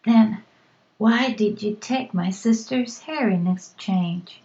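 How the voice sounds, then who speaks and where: A woman voicing a hoarse young woman in a read-aloud story, her throat sore after a long silence. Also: mid-range voice, takes it slowly, a little mellow, highs cut off. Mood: pleading.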